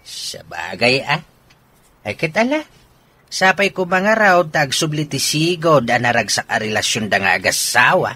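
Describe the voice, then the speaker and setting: Male in-law, actor for radio drama, concerned, forceful bright tone, very lively intonation. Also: mid-range voice, a little slow, husky, exaggerated voice. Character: concerned male in-law chatting about the couple. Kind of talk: Ilocano radio drama acting.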